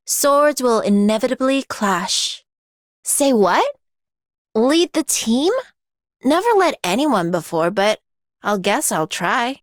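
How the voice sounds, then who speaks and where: A woman's voice: mid-range voice, no room sound, clean studio sound. A woman playing a cocky, brash, ageless blacksmith warrior in a video game, with a slangy, blunt, swaggering way of talking. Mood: casual, frank. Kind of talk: video game character voice lines.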